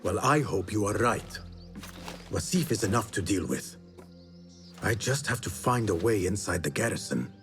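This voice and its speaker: An actor playing a solemn, resolute assassin in a video game, controlled and earnest. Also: male voice, on the low side, lively intonation, moderate pace. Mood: controlled, grave. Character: solemn, resolute male assassin of a secret order. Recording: loud music behind the voice.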